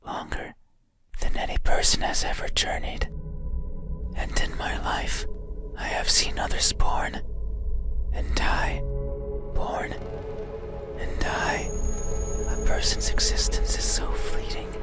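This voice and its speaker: A man voicing an ancient, lonely monster with melancholy, eerie politeness in a breathy ASMR horror whisper. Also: very high voice, full character voice. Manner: slow, deliberate, intimate, halting phrases. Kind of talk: scripted ASMR horror roleplay monologue. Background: eerie music.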